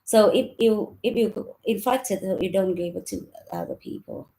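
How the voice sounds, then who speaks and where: A woman's voice: medium pitch, huge pitch swings, measured pace, vocal fry, warm tone, slight background noise. A woman from Vietnam in casual chat, speaking hesitantly in simplified grammar with many "I mean, like" fillers. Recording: echoey room.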